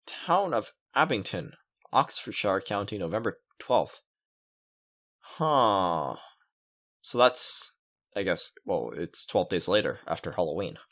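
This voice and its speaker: A man's voice: low-pitched, sing-song, slow, fairly bright tone. A man hosting a gaming video, reading a visual novel aloud with casual commentary. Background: game music.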